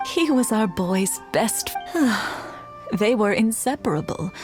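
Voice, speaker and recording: A woman voicing a kindly, nostalgic old village wife in a video game, with a gentle, fondly teasing manner. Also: mid-range voice, lively intonation, music in the background. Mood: wistful, concerned. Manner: slow, gentle, sighing reminiscence.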